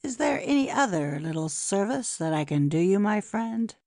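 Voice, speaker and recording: A woman's voice: medium pitch, big pitch swings, normal speed, darkish tone, no echo, clean studio sound. A woman playing a cruel male poisoner in an audiobook drama, taunting and menacing as she gloats. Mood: gloating.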